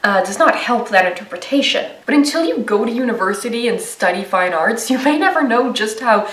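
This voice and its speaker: A woman's voice: fairly high pitch, expressive, fairly quick, fairly bright tone, recorded from a distance, slight background noise. Wry woman narrating in an echoey room. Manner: wry, conversational asides. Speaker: video essayist. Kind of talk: scripted video-essay narration.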